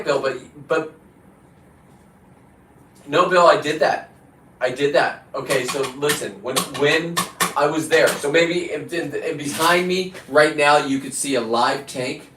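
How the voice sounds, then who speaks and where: A man hosting a casual livestream, talking in a halting, digressive way as he chats to someone off-screen and to his viewers. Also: a little high, a bit bright, slight background noise. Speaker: live stream host. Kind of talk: casual, rambling hands-on livestream chat. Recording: echoey room, distant from the mic.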